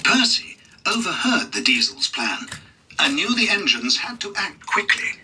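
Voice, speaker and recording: A man reads a scripted children's story as narrator. His voice sits a little high and moves expressively up and down. The recording has clear background noise. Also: big pitch swings, measured pace, pushed and bright, distant mic.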